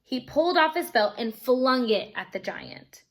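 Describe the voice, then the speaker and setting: A woman with a fairly high voice narrates a children's story. She reads expressively, as a teacher reading aloud to young listeners. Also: animated, unhurried.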